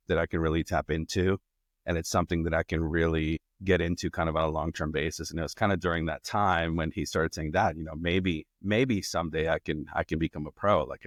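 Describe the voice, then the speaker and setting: A middle-aged man from Colombia speaks in a very low, deep voice as a podcast guest. He talks in a loose, rambling way and keeps restarting his sentences. The sound is dry and close, with no room echo. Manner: relaxed, rambling with frequent restarts. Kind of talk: casual conversation.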